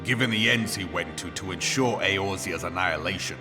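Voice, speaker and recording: An actor playing a stern, seasoned male general who speaks gravely in formal, old-fashioned phrasing, in a game cutscene. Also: low-pitched, moderate pace, lightly acted. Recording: music score underneath.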